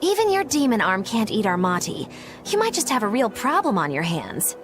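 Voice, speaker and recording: A teasing, theatrical witch with a high voice that swoops all over. Her lines come out sly and sing-song, with game music clearly behind her. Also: wildly swooping pitch, moderate pace. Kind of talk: game dialogue dub. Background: game score.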